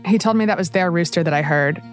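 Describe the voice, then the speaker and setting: A woman hosting a podcast, calmly narrating a scripted story. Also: lowish voice, fast talker, faint music behind. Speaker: podcast host.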